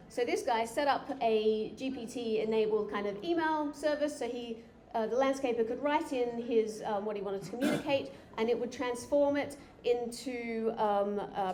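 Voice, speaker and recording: A woman's voice: fairly high pitch, a little mellow. A woman giving a live conference talk, chatty with frequent fillers and restarts. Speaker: conference speaker. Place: conference room. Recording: echoey hall with faint noise.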